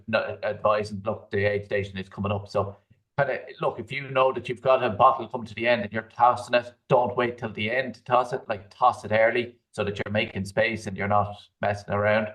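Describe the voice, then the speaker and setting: A man who is a triathlete and guest coach, giving relaxed advice full of "like" and "um". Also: mid-range voice, far from the mic. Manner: relaxed, fillers like "like" and "um". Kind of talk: casual advice chat.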